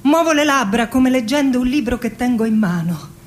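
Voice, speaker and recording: An actress playing a playful, confessional woman poet recalling her childhood in an expressive theatrical radio monologue. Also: a little high, moderate pace, natural voice. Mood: wry, reminiscing.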